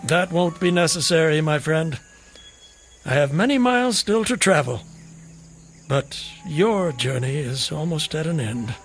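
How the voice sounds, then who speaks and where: An actor playing a mysterious, polite traveler, formal and measured, in a radio drama. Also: male voice, on the high side, lively intonation, soft background music. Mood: calm, knowing.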